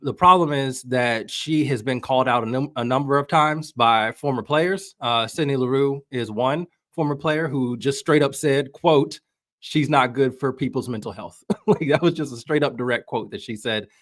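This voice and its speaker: A man's voice: medium pitch, moderate pace, a bit bright, no echo. Wry American man, dry close sound. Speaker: podcast guest, soccer journalist. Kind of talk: casual conversational commentary.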